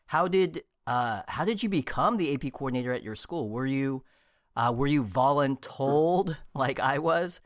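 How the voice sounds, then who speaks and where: A man hosts an interview podcast, asking his guests questions with upbeat curiosity and laughing often. His voice sits at a middle pitch, and it is recorded dry with hardly any room sound. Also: animated, normal speed, no echo. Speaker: podcast host. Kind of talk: interview hosting.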